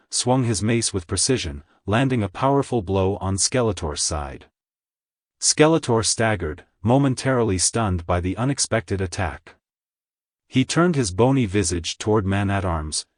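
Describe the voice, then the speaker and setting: Low-voiced man narrating a dramatic story. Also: lively intonation, moderate pace, no room sound, clean studio sound. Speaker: narrator, fan fiction reader.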